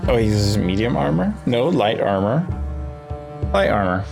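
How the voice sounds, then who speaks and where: A man's voice: lowish voice, very animated, a little slow, gravelly. A man giving casual playthrough commentary on a game, thinking aloud as he decides, with game music behind him. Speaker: gaming video host.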